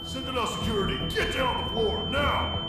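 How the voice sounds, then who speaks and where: A man's voice: very high-pitched, a little fast. A man playing a stern, commanding security officer in a sci-fi audio drama, giving clipped, authoritative orders. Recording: echoey, distant, loud music behind.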